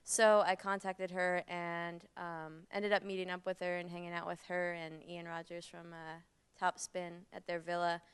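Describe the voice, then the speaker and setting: Very clear-voiced young woman student. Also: lowish voice, flat delivery, moderate pace. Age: about 20. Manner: eager, hesitant with fillers. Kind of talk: panel discussion.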